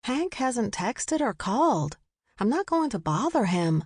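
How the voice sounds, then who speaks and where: A narrator as an exasperated woman, pitched up. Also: very animated, unhurried, darkish tone, husky, no echo, studio quality, full character voice. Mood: defensive. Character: exasperated, defensive woman, the story's heroine.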